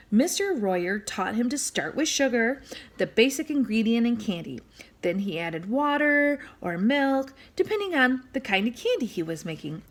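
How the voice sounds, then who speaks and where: A woman reading a children's book aloud as an expressive storyteller, speaking directly to young listeners. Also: on the high side. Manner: animated, addresses young listeners with questions and asides.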